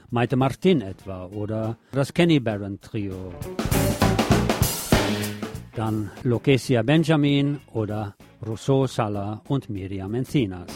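A man presenting scripted local news on the radio, reading as a narrator of reports. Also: medium pitch.

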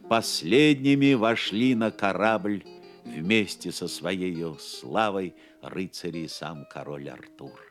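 A man's voice: low-pitched, takes it slowly, soft background music. A man narrating a legend as a dramatic scripted story for an audio play.

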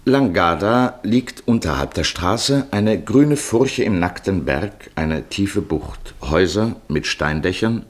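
Deep-voiced, expressive male radio play narrator. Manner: descriptive, list-like scene-painting.

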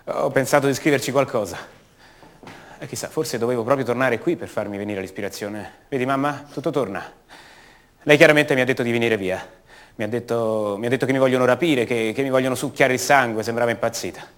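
Halting, uneasy man played by an actor. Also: medium pitch, fairly bright tone, close to an everyday voice. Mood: subdued, wistful. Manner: halting, stammering, repeats words. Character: uneasy, brooding grown son, increasingly paranoid and agitated. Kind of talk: radio drama monologue, naturalistic theatrical acting.

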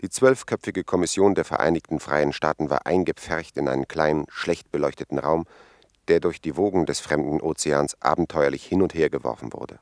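An actor playing a grave expedition member who gives a formal, measured eyewitness report in a 1950s German radio drama. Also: male voice, extremely low pitch, dry recording. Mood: dry, reporting.

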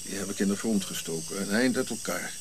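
A man acts out an old prince in a radio play, grumpy and sardonic, in a low voice. He talks curtly with dry irony over clear hiss and insect-like night noise. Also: fairly quick, lightly acted. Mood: wry, reflective. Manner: curt, irritable, dry irony. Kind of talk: radio drama acting.

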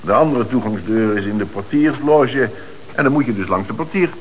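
An actor playing an agitated, earnest company director in a radio play, halting when rattled, with a Netherlands Dutch accent. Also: male voice, mid-range voice, lively intonation, slight background noise, close to an everyday voice, rough recording. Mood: flustered, shocked.

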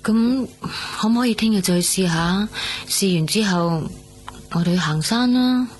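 An actress playing a stubborn but reassuring recovering patient in a radio drama, with a Hong Kong Cantonese accent. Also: female voice, medium pitch, a little slow, a little mellow, slight background noise, lightly acted, poor audio. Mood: gently insistent. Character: soft, stubborn, reassuring young woman recovering from surgery.

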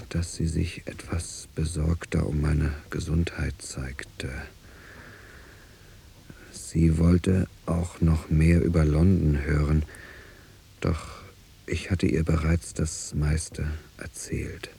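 A weary, uneasy husband reporting in a radio play monologue. His deep voice is very creaky and breathy, slow and hesitant. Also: mellow, heavily acted. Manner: hesitant, subdued, introspective.

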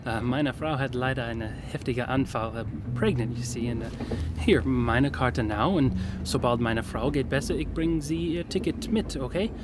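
A man's voice: mid-range voice, moderate pace, background noise, natural voice. An actor playing an upbeat, chummy globetrotter in a radio drama, hesitantly switching between English and German. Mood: apologetic. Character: smooth-talking, upbeat male globetrotter mixing English and German.

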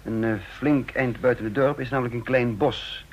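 Casting: male actor as brisk, methodical spy chief, deliberate with small pauses, medium pitch, dull-sounding radio drama recording. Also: fairly even intonation, close to an everyday voice. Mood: explaining. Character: brisk, methodical male head of a counterintelligence service. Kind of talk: Dutch radio play acting.

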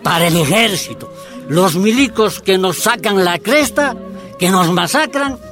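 Union leader in a radio play, high voice swinging all over, preachy teacher style, faint music under. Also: male voice, expressive, a little slow, fairly bright tone. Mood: indignant. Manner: didactic, rhetorical, emphatic. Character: fervent miners' union leader explaining injustice to comrades. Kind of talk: radio drama acting.

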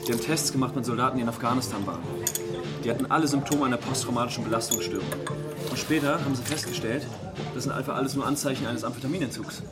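Actor as wired, fast-talking stressed-out man. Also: mid-range voice, over loud music, natural voice. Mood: insistent. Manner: restless, insistent, ranting. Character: wired, pushy, stressed-out male office worker craving drugs. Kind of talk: naturalistic radio drama dialogue.

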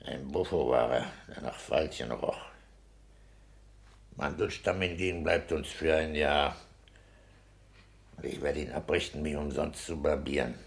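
An actor playing a smug, domineering petty official and landlord in a 1960s German radio play, matter-of-fact and pleased with himself. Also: male voice, a bass voice, unhurried, gravelly, long pauses, full character voice. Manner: matter-of-fact, self-satisfied.

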